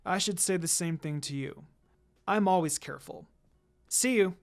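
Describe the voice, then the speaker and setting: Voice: mid-range voice, moderate pace, natural voice. A narrator voicing a confident, teasing young ninja woman, smug and playful, in a read-aloud story.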